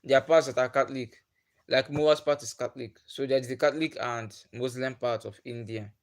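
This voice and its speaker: A man's voice: medium pitch, fairly even intonation, brisk. A young Nigerian man, a native Igbo speaker, casually chatting with viewers on a livestream and asking them questions. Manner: chatty, laughs often, asks viewers questions. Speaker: livestream host. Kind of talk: casual chat with live viewers. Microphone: phone or webcam on a livestream.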